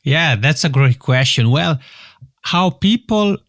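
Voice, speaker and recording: A man's voice: on the high side, expressive, takes it slowly, a bit bright, no echo, clean studio sound. A young man from the Italian-speaking part of Switzerland with a Swiss Italian accent, answering interview questions at length in a friendly way. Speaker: podcast guest.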